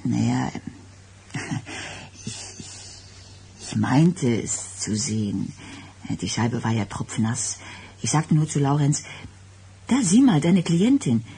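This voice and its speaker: An actress playing an anxious, self-doubting young expectant wife in a German radio drama, speaking in a halting, hesitant way. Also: female voice, a bass voice, animated, creaky, dark tone, slightly rough, heavily acted. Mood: unsettled, embarrassed. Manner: hesitant, halting, repeating words. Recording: radio play with background noise.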